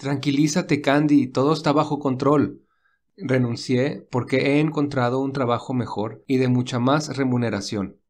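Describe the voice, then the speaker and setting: A man voicing a gentle, protective young man who speaks calmly and reassuringly in a read-aloud story. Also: medium pitch, moderate pace, close to an everyday voice. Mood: calm caring. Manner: calm, reassuring. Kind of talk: audiobook dialogue reading.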